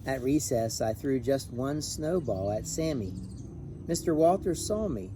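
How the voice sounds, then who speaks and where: Man, mid-pitched, mellow voice, very lively intonation, storybook read-aloud, faint background noise. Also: unhurried. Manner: animated, emphatic on repeated refrain. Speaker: pastor reading a children's picture book. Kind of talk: expressive storybook read-aloud with brief asides.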